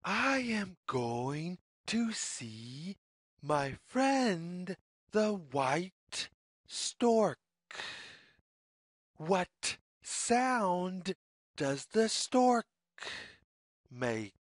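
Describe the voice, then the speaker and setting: A storyteller reading a children's read-along story in deliberate, sing-song phrases with pauses. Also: medium pitch, sing-song, dry recording, studio quality. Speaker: read-aloud narrator.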